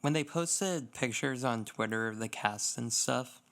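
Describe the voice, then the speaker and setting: A man's voice: mid-range voice, unhurried, vocal fry, noisy background. A young man co-hosting a podcast, chatting casually in an informal, wry way. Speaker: podcast co-host. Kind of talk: casual chat.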